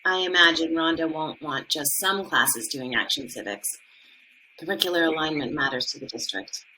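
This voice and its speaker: A woman's voice: sits low, normal speed, sharp tone, a little hiss or hum, rough recording. An actress playing an earnest, conflicted woman school administrator in a podcast drama. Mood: earnest, concerned.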